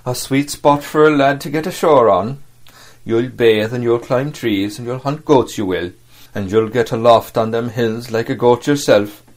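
A man voicing a wheedling old one-legged sea cook who talks in rough sailor's dialect. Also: medium pitch, normal speed, close to an everyday voice. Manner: cajoling, folksy. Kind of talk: audiobook character voice.

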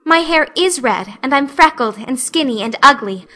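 Imploring young orphan girl, high and bright, breathless and theatrical, put on by a female reader. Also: expressive, moderate pace. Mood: pleading.

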